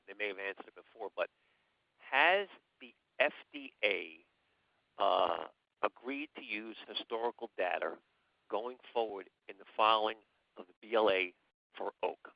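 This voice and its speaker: A man's voice: on the low side, animated, normal speed, gravelly. A man calling in to ask a casual question on a conference call. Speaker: caller asking a question. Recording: muffled distant phone line.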